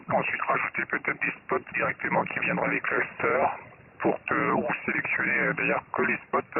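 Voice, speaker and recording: Casting: male radio ham, very gravelly voice, halting technical explainer, heard through narrow, hissy radio transmission. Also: medium pitch. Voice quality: gravelly, creaky. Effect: ham radio satellite link. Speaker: amateur radio operator explaining his software.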